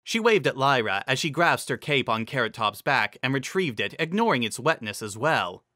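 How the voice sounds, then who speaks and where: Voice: mid-range voice, sing-song, moderate pace, bright, forceful tone, dry recording, clean studio sound. A narrator giving a dramatic, scripted reading of a fantasy story.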